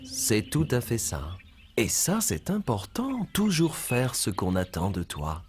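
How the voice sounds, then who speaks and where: A man playing a kindly storytelling mentor in a children's cartoon dub, with measured, instructive delivery. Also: mid-range voice. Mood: encouraging. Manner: measured, expressive, instructive narration. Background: music behind the voice.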